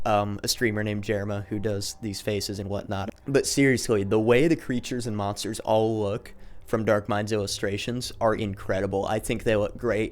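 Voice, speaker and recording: A man narrating a video essay in a conversational explanatory way, moving between scripted recap and casual commentary. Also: fairly low pitch.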